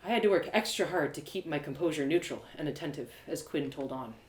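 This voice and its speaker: A woman's voice: sits low, animated, fast talker. A woman storyteller giving dramatic first-person narration of a tale. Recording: roomy echo of home live reading.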